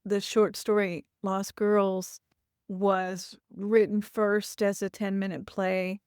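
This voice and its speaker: A woman in her sixties from Louisville in the United States, giving thoughtful, reflective interview answers and often pausing to rephrase. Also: medium pitch, little pitch movement, a little mellow, clear, resonant tone, no echo, studio quality. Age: 60s. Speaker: author, interview guest.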